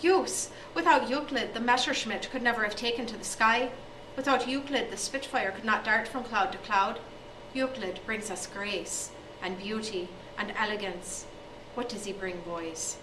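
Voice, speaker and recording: A woman voicing a dreamy, sorrowful old male maths teacher who speaks slowly and solemnly. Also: a little high, background noise, close to an everyday voice. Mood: reverent. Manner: slow, solemn, sighing. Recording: echoey room from far off.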